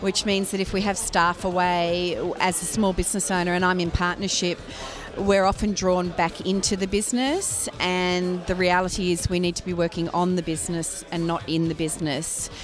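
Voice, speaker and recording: A woman in her forties speaks into a handheld mic in an indoor function room. Her voice sits a little low and she answers in a measured, reflective way with frequent ums. Music plays clearly behind her. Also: steady tone. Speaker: small business owner and mum. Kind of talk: vox pop interview answer. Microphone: handheld interviewer mic. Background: background music.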